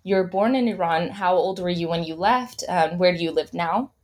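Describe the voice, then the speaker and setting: A woman's voice: fairly low pitch, moderate pace, a little mellow. A woman hosting a podcast, talking in a chatty way with fillers and restarts. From United States. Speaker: podcast host. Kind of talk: podcast interview.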